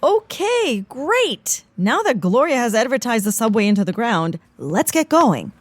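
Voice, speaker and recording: A woman's voice: a little high, very animated, a little slow. An actress playing a laid-back, food-loving female agent in a comedy audio drama, breezy and easily distracted.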